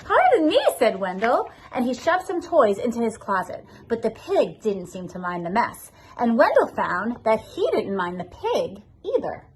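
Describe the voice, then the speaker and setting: High, animated woman reading a storybook. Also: normal speed, few pauses, a little hiss or hum. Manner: expressive, addressing children directly. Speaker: school assistant principal reading to pupils. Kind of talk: children's storybook read-aloud.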